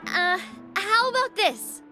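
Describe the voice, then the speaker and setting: An actress playing an excitable, bubbly young woman in a video game, with an animated, expressive delivery. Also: very high-pitched, slow pace, heavily acted. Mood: cheerful. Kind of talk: scripted video game voice acting. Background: music behind the voice.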